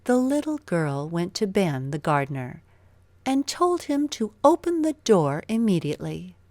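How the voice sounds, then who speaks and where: A woman narrating a scripted children's story in an expressive, deliberate storytelling manner. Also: lowish voice, dry recording.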